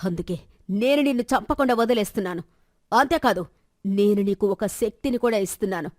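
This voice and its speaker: A woman's voice: mid-range voice, brisk, a little mellow, no echo, clean studio sound, natural voice. A woman voicing a merciful female ghost who speaks solemnly and with authority in a story. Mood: benevolent, commanding. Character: merciful female ghost granting a boon, commanding.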